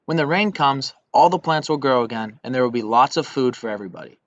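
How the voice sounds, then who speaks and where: A man voicing a smart, polite, slightly grumpy lion in a measured storybook reading. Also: mid-range voice, lively intonation, a bit bright, close to an everyday voice. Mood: thoughtful. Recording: dull lo-fi recording.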